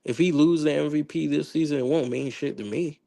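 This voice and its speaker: A man hosting a casual sports chat, hoarse and slangy, rambling with lots of restarts. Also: fairly high pitch, steady tone, brisk, mellow. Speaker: podcast host.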